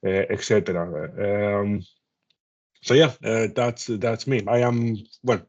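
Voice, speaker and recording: A man from Spain with a Spanish accent, introducing himself as a panelist, speaking haltingly with many fillers. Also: on the low side, steady tone, darkish tone, lo-fi sound. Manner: halting, many fillers and restarts, occasional laugh.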